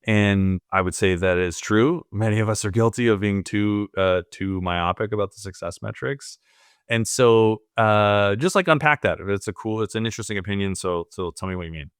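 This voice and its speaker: A man's voice: fairly low pitch, moderate pace, smooth, no echo, studio quality. A man hosting a business podcast, introducing and questioning his guest in an upbeat, conversational way. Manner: upbeat, conversational with frequent fillers. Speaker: podcast host. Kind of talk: casual interview chat.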